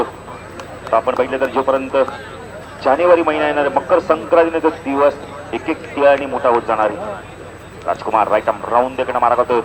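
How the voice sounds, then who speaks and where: A man doing live cricket commentary, moving between measured announcing and excited calls. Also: fairly high pitch. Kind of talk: live local cricket tournament commentary in Marathi. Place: outdoors at a cricket ground. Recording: outdoor PA with crowd noise.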